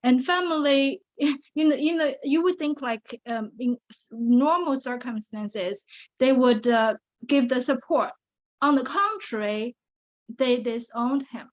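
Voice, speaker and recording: Earnest Chinese-accented woman, fairly high voice, interview guest, dull lo-fi sound. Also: unhurried. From China. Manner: earnest, many fillers and restarts. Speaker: guest, survivor advocate. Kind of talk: interview on a video show.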